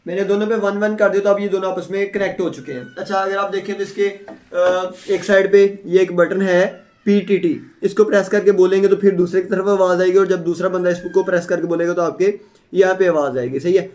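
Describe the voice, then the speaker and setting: A man's voice: high voice, fairly even intonation, brisk, darkish tone. A man giving a casual, step-by-step product unboxing and demonstration, often checking in with small tag phrases as he explains. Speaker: product reviewer. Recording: echoey room.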